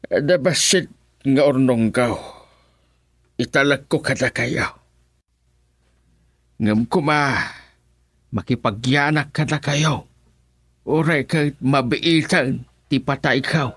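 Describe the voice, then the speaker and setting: A man's voice: mid-range voice, slow pace, pauses often, fairly bright tone, no room sound. An actor playing an earnest homeless man seeking his kin in an Ilocano radio drama, with an Ilocano Filipino accent and a confiding manner. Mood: sorrowful pleading.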